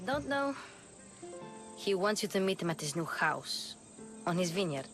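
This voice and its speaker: A woman's voice: lowish voice, big pitch swings, unhurried, music in the background. An actress playing a breezy, eager young messenger girl in a video game cutscene.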